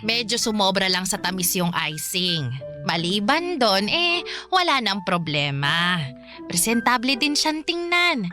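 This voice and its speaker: A woman playing a gentle, pious elderly adoptive mother in a radio drama, speaking softly with small chuckles. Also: medium pitch, very animated, a little slow, soft background music. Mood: gentle warm. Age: older.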